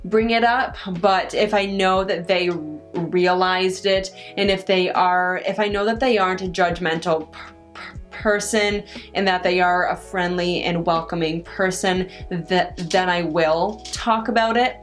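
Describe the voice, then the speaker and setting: A woman's voice: fairly low pitch, steady tone. A young woman answering viewer questions in a casual chat, stuttering on first sounds and restarting her sentences often. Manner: halting, many restarts and pauses. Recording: faint guitar music underneath.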